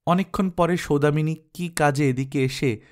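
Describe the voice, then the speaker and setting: A man's voice: a little high, moderate pace. A man narrating a literary story in a dramatized audiobook reading style.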